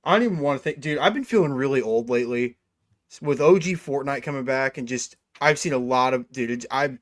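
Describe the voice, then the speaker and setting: Young male co-host, relaxed sports banter, dry recording. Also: mid-range voice, fairly quick, dry recording. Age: 20s. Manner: laid-back, says "dude" a lot. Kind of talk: casual sports chat.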